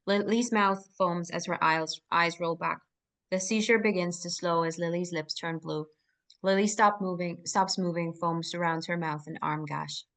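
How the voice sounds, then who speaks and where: Female narrator, slightly low voice, reading screenplay action lines and scene headings. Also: steady tone, moderate pace, a bit bright. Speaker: stage directions reader at a screenplay table read.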